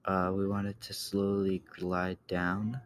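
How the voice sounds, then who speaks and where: A man giving a casual software tutorial, thinking aloud in a halting way and often trailing off. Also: low-pitched, monotone. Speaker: tutorial host. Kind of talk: casual screen-recorded coding tutorial. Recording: clear background noise, keyboard clicks.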